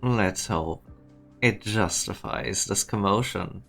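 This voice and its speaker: A dry, grumbling male boss acted by a man in a game drama. His deep voice moves slowly and curtly, with faint music underneath. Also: gravelly, fairly bright tone, heavily acted. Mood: curt, wry. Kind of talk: fan-dubbed game drama dialogue.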